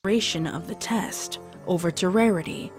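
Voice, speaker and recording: A woman's voice: on the low side, normal speed, over music. A woman reading scripted narration for a dramatised story, as its narrator.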